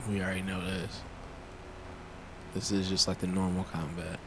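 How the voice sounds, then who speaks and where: A man's voice: low voice, little pitch movement, moderate pace, noisy background. A man giving casual, informal gameplay commentary and reading on-screen text aloud, sometimes muttering to himself. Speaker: game streamer, show host. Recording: game music behind the voice. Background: video game music.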